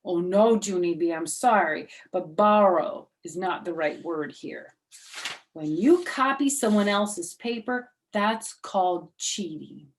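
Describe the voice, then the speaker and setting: A firm but patient male teacher from a children's storybook, read by a woman. He explains rules deliberately and emphatically, admonishing a pupil without losing his patience. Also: fairly low pitch, slight background noise. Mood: stern, disappointed.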